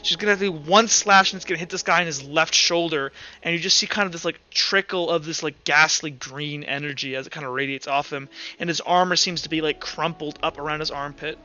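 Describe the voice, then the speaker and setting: Bright, high-voiced man running a tabletop game. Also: fairly quick, few pauses, dull audio. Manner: casual, profane. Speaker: game master. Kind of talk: narrating and refereeing an online tabletop game. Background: music.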